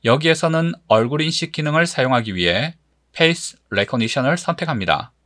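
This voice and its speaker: A man giving scripted tutorial narration as an instructor, explaining step by step in a measured manner. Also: mid-range voice, fairly bright tone, no echo, clean studio sound.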